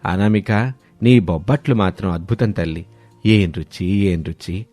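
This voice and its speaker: A man voicing a kindly older father-in-law, affectionate and playfully blunt, with slow, emphatic delivery, in a story. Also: low-pitched, mellow, no echo, voice pushed down. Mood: delighted. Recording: studio voice over background music.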